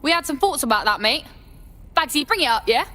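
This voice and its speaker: A woman's voice: high-pitched, animated, brisk, sharp tone. An actress playing a foul-mouthed young female rebel, brash and blunt.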